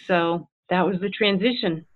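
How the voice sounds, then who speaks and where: A woman who heads a school, answering interview questions in an earnest, animated way and acting out her own past thoughts. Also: on the low side, a little slow. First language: English. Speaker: head of school. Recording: tinny phone-like line.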